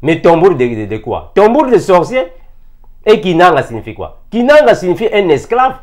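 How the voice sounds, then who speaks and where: A man delivers a sermon. His voice sits a little high, and he drives his points home with repeated phrases and pointed rhetorical questions. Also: big pitch swings, normal speed, a little mellow. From Africa. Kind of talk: impassioned sermon addressed to fellow believers.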